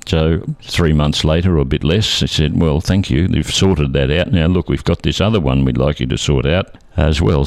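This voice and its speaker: Older Australian male, seventies, bass-register voice, conversational interviewee, measured and hesitant, dry sound. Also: no echo. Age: 70s. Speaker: interview guest, retired air traffic controller. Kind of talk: conversational reminiscence.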